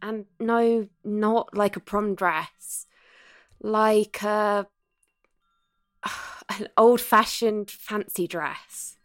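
A woman's voice: medium pitch, steady tone, extremely slow, no echo. Eager, flustered ghost woman, dry sound. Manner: hesitant, conversational, easily sidetracked. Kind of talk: audio drama dialogue.

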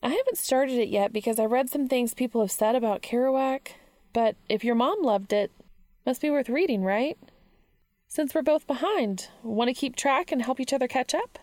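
Woman voicing a bright, eager teenage girl, high-pitched. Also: moderate pace, no room sound, clean studio sound, close to an everyday voice. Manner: chatty, upbeat, questioning. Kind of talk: audiobook character dialogue.

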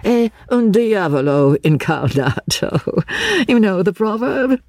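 Supercilious young gentleman for an audiobook, read by a woman, pompous, easily irritated, smooth-edged softness, swooping intonation. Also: sits high, very animated, takes it slowly, no echo, clean studio sound, voice pushed up, heavily acted. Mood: affected, arch. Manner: pompous, hesitant restarts. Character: affected young Englishman, supercilious, irritable, falsely cosmopolitan.

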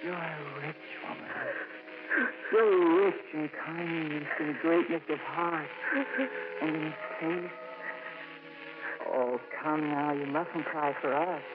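An actress playing a devout, consoling nun, speaking with earnest, tender formality in 1950s radio drama style. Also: female voice, low voice, fairly even intonation, slow pace, long pauses, over music. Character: gentle, devout, hopeful, consoling nun, adult woman. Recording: dull old radio broadcast.

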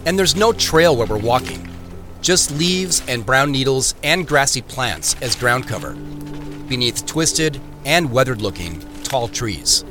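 An actor playing the first-person narrator of a mystery audio drama, telling it in a measured, descriptive way. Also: male voice, medium pitch, animated, music in the background, natural voice. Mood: intent, suspenseful.

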